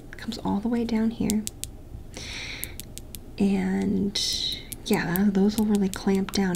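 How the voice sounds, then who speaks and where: A woman whispers and speaks softly in an ASMR roleplay, taking her time over each phrase. Her voice has a gravelly fry. A steady hiss sits behind her. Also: medium pitch. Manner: whispered and soft-spoken, slow and gentle. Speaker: ASMR creator. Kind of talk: soft-spoken ASMR roleplay. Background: tapping and clicking sounds.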